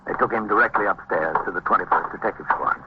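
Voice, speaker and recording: Quick, low-voiced police lieutenant. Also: male voice, vocal fry. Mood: matter-of-fact. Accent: American. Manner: clipped, matter-of-fact. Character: calm, dutiful male police lieutenant, also narrating. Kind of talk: vintage police procedural narration and dialogue. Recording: dull, noisy vintage radio recording.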